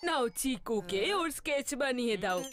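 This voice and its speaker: A woman's voice: fairly high pitch, lively intonation, moderate pace, crowd noise, close to an everyday voice. A woman voicing a bossy, thrifty grandmother who scolds and insists in a kids' cartoon. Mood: firm. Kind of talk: cartoon voice acting. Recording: music behind the voice.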